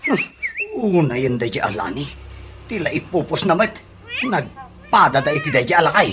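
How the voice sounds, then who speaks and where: A woman's voice: deep voice, big pitch swings, normal speed. A woman with an Ilocano accent playing a touchy, flustered woman in a comedy radio drama. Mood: puzzled, gossipy.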